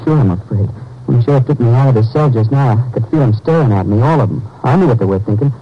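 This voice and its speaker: Fearful young American convict, husky, quick, polite but unwilling; muffled vintage radio. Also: male voice, mid-range voice, expressive. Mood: scared, defensive. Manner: hesitant, polite, protesting. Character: frightened, remorseful young male prisoner, early twenties. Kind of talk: 1950s American radio drama acting. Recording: muffled old 1950s radio recording.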